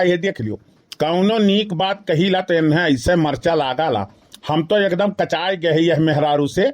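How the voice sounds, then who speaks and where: A man's voice: on the high side, lightly acted. A man voicing an exasperated, skinny henpecked village husband in a comedy cartoon, grumbling repetitively with an Awadhi accent. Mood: fed up. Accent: Awadhi, eastern Uttar Pradesh. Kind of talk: rustic comedy cartoon dialogue.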